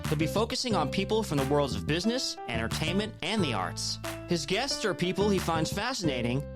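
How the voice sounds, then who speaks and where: Female intro announcer, lowish lively voice, scripted promo read over loud guitar music. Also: fairly quick.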